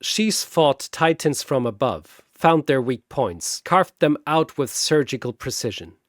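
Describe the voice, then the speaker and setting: Slow, dramatic male narrator. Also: mid-range voice, expressive, no room sound, studio quality. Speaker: narrator, battle announcer. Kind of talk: dramatic scripted story narration.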